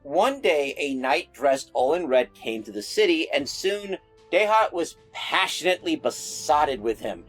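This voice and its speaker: A man's voice: fairly high pitch, sing-song, unhurried, a bit bright, soft background music, low-quality recording. A man narrating folklore in a casual storytelling style, with wry joking asides.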